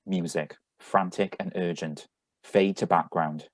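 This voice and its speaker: An actor is a brisk man in a radio drama reading, who also announces the sound effects. His voice is low. The sound is dry and close, with little room in it. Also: fairly even intonation, dry recording. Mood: flat announcing. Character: brisk, mildly exasperated grown son at breakfast. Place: remote live reading over video call.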